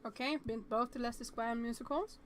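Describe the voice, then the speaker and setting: High-voiced woman playing a story game aloud. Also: steady tone, fast talker, a little hiss or hum. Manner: reacts aloud to the story, chatty. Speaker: let's-play streamer. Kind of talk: reading visual novel text aloud with casual reactions.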